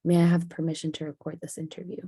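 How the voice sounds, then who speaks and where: A woman's voice: low-pitched, monotone, fast talker, warm tone, slightly rough, no echo, clean studio sound. A woman asking polite, casual questions as an oral history interviewer.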